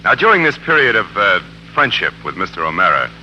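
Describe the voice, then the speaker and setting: An actor playing a pressing, sarcastic courtroom lawyer in a 1940s radio drama, formal and insinuating. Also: male voice, on the low side, very animated, fairly quick, pushed and bright, exaggerated voice. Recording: narrow-band old radio broadcast with hiss.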